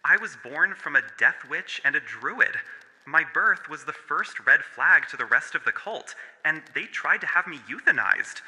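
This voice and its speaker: A man's voice: a little high, bright, forceful tone, exaggerated voice. A man voicing a traumatised furry cult survivor in an audio horror drama, speaking in a solemn, confessional way. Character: traumatised male furry cult survivor with nature powers, haunted. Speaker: voice actor. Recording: long hall-like reverb.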